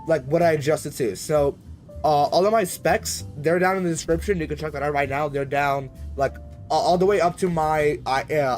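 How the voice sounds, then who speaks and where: A man's voice: a little high, a little mellow. A man chatting casually as he live-streams a flight simulator, in a rambling, slangy, conversational way. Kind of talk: casual live-stream chat while gaming.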